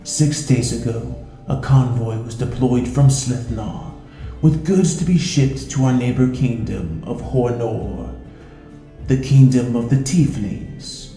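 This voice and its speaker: Grave quest-giver drow for audio drama, male performer, very dark mellow timbre, formal, echoey. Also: medium pitch, slow, close to an everyday voice. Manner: formal, explanatory. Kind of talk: fantasy audio drama briefing. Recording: roomy echo, faint music behind.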